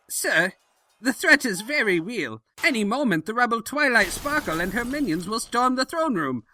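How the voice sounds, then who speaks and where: An elderly pony chancellor from a comic audio drama, played by a man. He is loyal and fretful, with a high, very sharp voice. His delivery is formal and fawning, over audible noise. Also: big pitch swings, measured pace, full character voice. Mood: anxious, deferential. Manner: fussy, formal, obsequious. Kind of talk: comedic audio drama acting.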